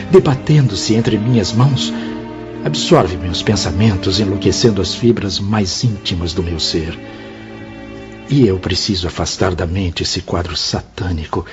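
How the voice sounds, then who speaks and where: A man's voice: sits low, close to an everyday voice. A Brazilian actor playing a remorseful, desperate male spirit in a radio drama, pleading in a formal, theatrical, anguished way. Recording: dull lo-fi audio under clear music.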